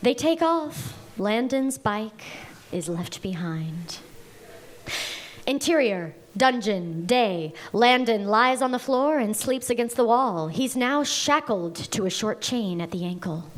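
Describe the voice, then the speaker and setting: A woman's voice: on the high side, expressive, takes it slowly. A woman reading stage directions as scripted narration at a live table read.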